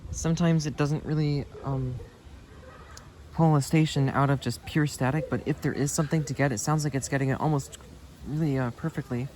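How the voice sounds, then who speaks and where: A man's voice: on the high side, fairly even intonation, fairly quick, a little mellow, a little hiss or hum. A man giving a casual, unscripted demonstration of radio gear as a hobbyist vlogger, with an enthusiastic manner.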